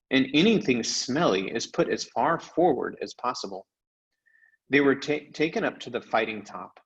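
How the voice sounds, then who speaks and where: A man narrating a children's novel aloud in an expressive storyteller's style. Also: mid-range voice, highs cut off. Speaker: read-aloud storyteller, teacher.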